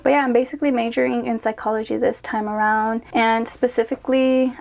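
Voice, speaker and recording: A woman's voice: a little high, creaky, darkish tone. A woman in her late twenties chatting casually on her vlog while doing skincare, rambling, laughing and correcting herself, with "like" in almost every sentence. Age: late 20s. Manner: filler-heavy with "like". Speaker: vlogger.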